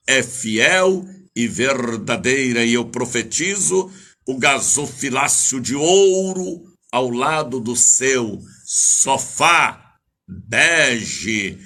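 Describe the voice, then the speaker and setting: A man's voice: medium pitch, sharp tone. An older man preaching as an evangelical bishop on a live radio service, declamatory, repeating phrases and drawing out names as he greets listeners.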